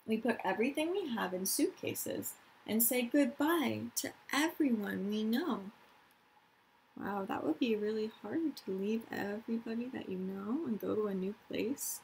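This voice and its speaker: A woman's voice: on the high side, animated, unhurried, creaky, a little mellow, slight background noise, rough recording. A woman, a teacher of young children reading aloud and explaining things simply, stressing key words. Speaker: elementary school teacher.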